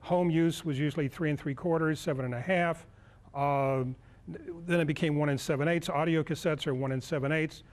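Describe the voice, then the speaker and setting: A man in his sixties giving an informal live demonstration as a lecturer, halting as he searches for words. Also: on the high side, steady tone. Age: 60s. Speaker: lecturer at a club workshop. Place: indoor meeting room, speaking to a group.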